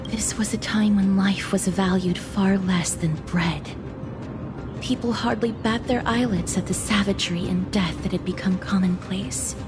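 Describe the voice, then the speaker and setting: An actress playing a gentle, solemn young woman warrior in a video game, speaking in an earnest, measured manner. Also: mid-range voice, steady tone, over music, close to an everyday voice. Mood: somber.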